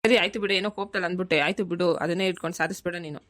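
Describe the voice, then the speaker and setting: A woman voicing a quick-tempered village farmer husband who bickers and coaxes in a family cartoon, with a rural southern Karnataka accent. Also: fairly low pitch, fairly even intonation, a bit bright, lightly acted. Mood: placating. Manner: bickering, colloquial, nagging questions.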